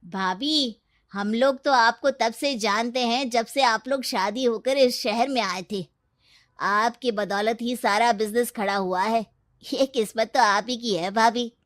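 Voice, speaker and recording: A woman performs a husband's loyal friend in a cartoon tale. The voice is fairly high. He talks politely and with open admiration. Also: unhurried, fairly bright tone. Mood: admiring. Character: loyal, respectful male family friend praising the wife. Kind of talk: Hindi cartoon story dialogue.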